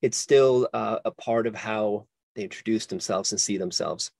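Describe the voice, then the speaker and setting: A man's voice: fairly low pitch, normal speed, creaky, darkish tone, no echo, studio quality. A man in his forties from Sweden, a guest historian talking reflectively in an interview, with many restarts and fillers. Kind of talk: casual interview conversation.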